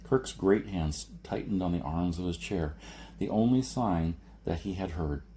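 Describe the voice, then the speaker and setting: A man's voice: low voice, a little slow, dark tone, slight background noise. A man reading a science fiction story as scripted narration, in the manner of an amateur audiobook reader. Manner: amateur reading with slips and retakes.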